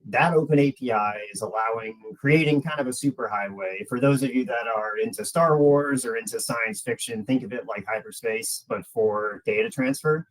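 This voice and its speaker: A man's voice: medium pitch, normal speed, hardly any pauses, rough recording. A man giving a webinar presentation as a product presenter, explaining in a conversational way and addressing the audience directly.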